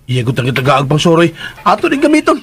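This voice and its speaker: A man's voice: on the high side, huge pitch swings, quick pace. An actor playing a dutiful, polite young man from the provinces in a radio drama, with a Cebuano Filipino accent. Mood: easygoing, grateful. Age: young adult. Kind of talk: Philippine radio drama acting. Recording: noisy track, vehicle and ambience behind.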